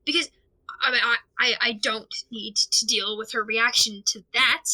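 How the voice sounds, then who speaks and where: A woman acting in an audio drama as an anxious, overwhelmed amateur investigator, talking through worries alone in a halting, stammering, rambling way. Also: a little high, fairly even intonation, pushed and bright, rough recording. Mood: worried, flustered. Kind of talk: audio drama monologue, recorded diary.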